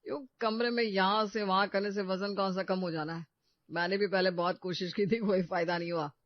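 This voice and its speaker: A man playing a smooth-talking, evasive husband in a cartoon story, teasing and defensive. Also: sits high, fairly even intonation, fairly quick, dry recording, lo-fi sound, natural voice. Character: glib, evasive husband making excuses.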